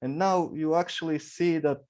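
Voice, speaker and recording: A man asking questions at an academic round table, halting and searching for his words. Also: on the high side, steady tone, darkish tone. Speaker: panel moderator.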